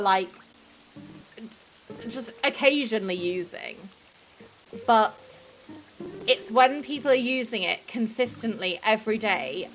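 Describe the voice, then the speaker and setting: A woman's voice: medium pitch, fairly bright tone, low-quality recording. A woman hosting a live stream, chatting casually with viewers, filling her talk with "like" and swearing freely. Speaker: livestream host.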